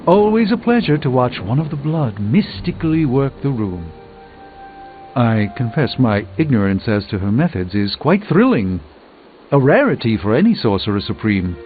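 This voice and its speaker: Man acting as a learned male sorcerer, pompous, theatrical, expressive swooping pitch, video game dialogue, music behind. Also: moderate pace, lightly acted. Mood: admiring, grand. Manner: pompous, theatrical, ornate diction. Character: erudite, pompous adult male sorcerer, dryly witty. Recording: muffled phone-like band, music behind.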